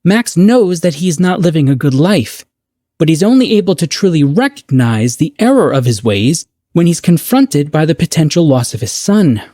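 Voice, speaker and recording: Higher-pitched, mellow, earnest man giving commentary. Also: big pitch swings, dry recording, studio quality. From United States. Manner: measured, articulate, earnest. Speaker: podcaster giving recorded commentary. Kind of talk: prepared, thoughtful commentary.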